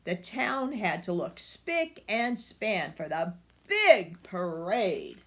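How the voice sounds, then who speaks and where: An older woman reading a picture book aloud to children, expressive and speaking directly to her young listeners. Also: mid-range voice, takes it slowly.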